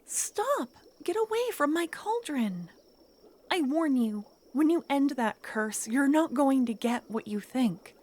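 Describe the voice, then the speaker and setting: A woman voicing a clingy, menacing yandere witch in an ASMR roleplay, speaking in a soft, coaxing whisper. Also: high-pitched, expressive, slow, a little hiss or hum, voice pushed up. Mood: indignant, frantic.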